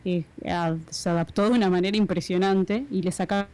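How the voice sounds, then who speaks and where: A woman's voice: on the low side, fairly even intonation, brisk, warm tone. A middle-aged woman with an Argentine accent, a radio announcer chatting and explaining with many examples as an interview guest. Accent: Argentine Spanish. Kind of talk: casual interview chat.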